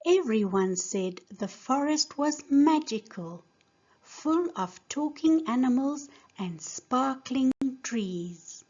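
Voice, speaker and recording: A woman teacher giving a scripted lesson and narrating a storybook in a deliberate, simple way for young learners. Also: high voice, animated, darkish tone, dull audio. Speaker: English teacher reading a children's story.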